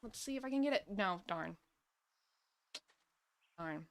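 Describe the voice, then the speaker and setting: A woman's voice: medium pitch, animated, measured pace. A woman doing casual live gaming commentary, chatting and thinking aloud as she plays. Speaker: game streamer.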